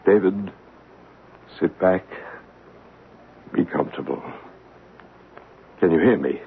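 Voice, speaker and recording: An actor playing a male psychic who guides a séance in slow, hushed tones and narrates the story, in a 1970s radio drama. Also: deep voice, vocal fry, full character voice. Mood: soothing. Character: calm, soothing male psychic guiding a séance. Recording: narrow, hissy old radio broadcast.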